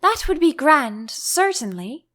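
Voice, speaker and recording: A curious young storybook girl, played by a woman, with a light, very high voice that rises and falls freely. She is polite, gentle and a little cautious. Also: sing-song, slow, full character voice. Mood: thoughtful. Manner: gentle, earnest.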